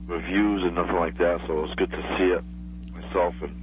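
Low-voiced, halting man on a dull line. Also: steady tone. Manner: hesitant, halting, rambling. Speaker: caller to a radio show. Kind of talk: casual chat. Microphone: telephone. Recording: dull phone call with faint hum.

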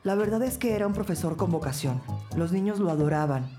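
A courteous, imposing female principal, played by an actress in a crime radio play. Her voice is deep for a woman, and music plays clearly behind it. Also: expressive, fast talker, mellow, natural voice. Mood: courteous, regretful. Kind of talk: scripted audio drama dialogue.

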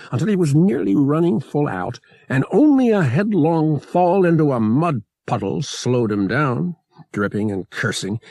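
A man's voice: mid-range voice, gravelly, a little mellow, husky, dry recording. A man reading an adventure novel aloud as dramatic scripted narration. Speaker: audiobook reader.

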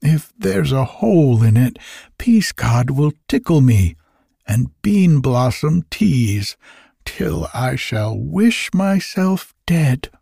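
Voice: mid-range voice, sing-song, slow pace, creaky, mellow, husky, dry recording, pitched above the performer's own voice, heavily acted. A narrator voicing a tiny, fussy, boastful male elf with a dry, peevish voice in a storybook reading. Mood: anxious, fretful. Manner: fussy, exclamatory.

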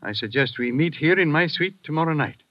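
A man's voice: fairly high pitch, expressive, a little fast, natural voice. Man playing a worried, jumpy businessman, old radio mystery, dull recording. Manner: anxious, worried. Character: nervous wealthy American businessman. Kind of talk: 1950s radio drama acting.

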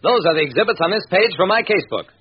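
A man's voice: high voice, lively intonation, fast, husky, full character voice. An American man playing a wry, impatient private detective in an old-time radio drama, with clipped hardboiled narration. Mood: wry narrating. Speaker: narrator and lead actor. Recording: noisy, dull vintage radio recording.

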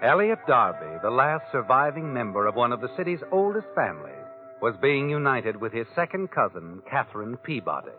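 An actor plays a sardonic male storyteller from a 1940s radio crime show. His pitch swings widely as he needles the listener in second person. The sound is thin and old, with music behind him. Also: sing-song, brisk, lightly acted. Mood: sardonic, taunting. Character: sardonic all-knowing male narrator of crime tales. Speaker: narrator. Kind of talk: 1940s radio drama narration. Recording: tinny vintage radio recording with music behind.

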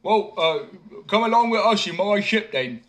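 An eager, naive young peasant simpleton from a storybook who shouts his greetings and questions as a man plays him. His voice is raised, loud and bright with excitement. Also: fairly high pitch, moderate pace, pitched above the performer's own voice. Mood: calling out.

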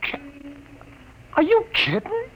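A man's voice: very high-pitched, very animated, slow, a bit bright, heavily acted. A man playing an eager, unpolished newspaper hand in a vintage radio drama, slangy and ungrammatical. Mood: incredulous. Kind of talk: 1940s-50s radio drama acting. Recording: noisy old radio broadcast recording.

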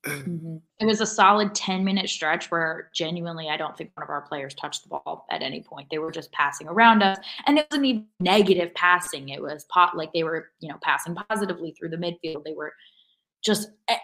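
A woman's voice: lowish voice, brisk, fairly bright tone, rough recording. A woman co-hosting a sports podcast, casually breaking down a match in a critical, analytical way. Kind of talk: casual post-match soccer discussion.

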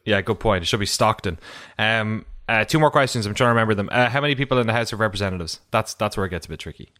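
A man's voice: fairly low pitch, rapid-fire, fairly bright tone. A man, co-hosting a podcast in casual chat, joking and laughing often. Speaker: podcast co-host.

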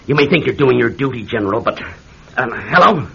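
An actor playing a pompous, bullying senior senator with a raspy, blustering delivery in a classic radio drama. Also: male voice, medium pitch, expressive, fairly quick, noisy background. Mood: insistent. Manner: blustering, imperious. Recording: dull old radio drama recording.

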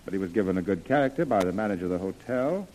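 An actor playing an earnest, persuasive gentleman major, formal and articulate, in an old-time radio adventure. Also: sits low, lively intonation, very fast, slight background noise, low-quality recording.

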